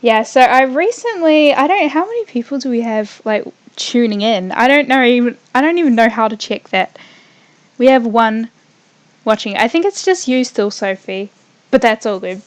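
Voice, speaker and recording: A young woman from New Zealand with a New Zealand accent slightly softened by Australia, chatting on a casual gaming livestream and rambling off mid-sentence as she builds. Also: a little high, expressive, normal speed. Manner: hums and makes small random noises while working. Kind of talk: casual livestream chat while building in a game.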